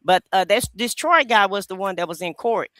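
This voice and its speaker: A man's voice: high-pitched, animated, moderate pace, pushed and bright, no room sound. A man co-hosting a podcast, commenting casually on a video clip, explaining loosely with many fillers and some amusement. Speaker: podcast co-host.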